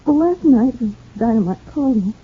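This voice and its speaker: High-voiced bitter radio widow, played by a woman. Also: animated, fairly quick, phone-quality sound, lightly acted. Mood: weary, earnest. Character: grieving, bitter widow of a murdered contractor. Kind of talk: 1940s radio acting. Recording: thin, noisy 1940s radio recording.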